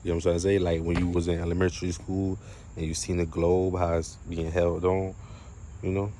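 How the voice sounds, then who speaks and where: A man delivering an unscripted spiritual monologue to viewers, halting and emphatic, often repeating his phrases. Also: deep voice, little pitch movement, dark tone, a little hiss or hum. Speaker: spiritual video creator.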